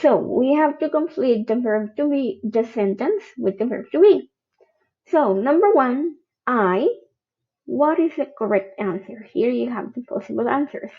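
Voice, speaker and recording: Woman, grammar teacher, fairly high voice, slow, patient, lo-fi recording. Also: expressive, husky. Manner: patient, spells out each example. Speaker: English teacher.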